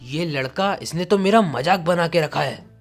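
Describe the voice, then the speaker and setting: A stern, grumbling lord and father played by a male narrator speaks in a commanding manner. Soft music runs behind the manga story. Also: a little high, lively intonation, normal speed. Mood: disappointed.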